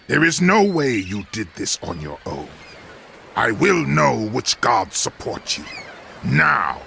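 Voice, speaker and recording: Forceful, gritty cyclops warlord. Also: male voice, mid-range voice, sing-song, takes it slowly, creaky, slight background noise, full character voice. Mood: threatening. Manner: imperious, theatrical. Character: male cyclops warlord villain, arrogant and threatening. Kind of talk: video game cutscene acting.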